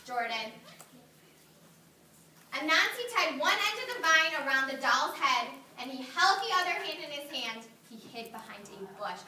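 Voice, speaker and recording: A woman kindergarten teacher reading a story aloud to her class, animated, praising and asking the children questions. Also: high voice, slight background noise. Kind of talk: read-aloud to young children. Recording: echoey classroom, mic far off.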